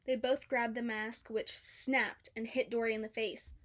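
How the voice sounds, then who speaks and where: A woman with a fairly high voice reads a children's story aloud. Her delivery is scripted storybook narration. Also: little pitch movement, unhurried, a bit bright. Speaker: storybook reader.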